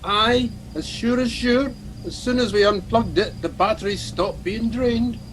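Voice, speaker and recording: A man's voice: high voice, measured pace, a bit bright, poor audio. A man playing a gloomy, sheepish Scottish ship's engineer in a comedy radio play.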